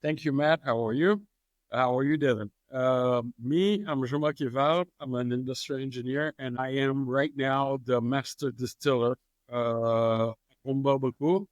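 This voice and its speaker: A man, a master distiller answering interview questions in a relaxed, hesitant way with many fillers and easy laughs. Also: medium pitch, measured pace, no echo. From Haiti.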